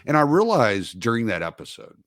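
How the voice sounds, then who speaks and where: A man hosting a casual livestream, talking in a halting, rambling way with many fillers and restarts. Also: medium pitch, huge pitch swings, fairly bright tone, no echo, clean studio sound. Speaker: podcast host.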